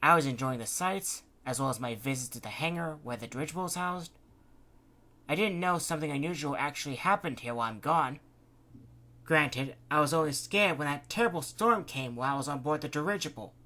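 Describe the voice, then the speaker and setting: A man's voice: a little fast, pushed and bright. A man voicing a cheerful woman who captains a dirigible, in a raised, lilting voice. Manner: chatty, lilting, higher-pitched.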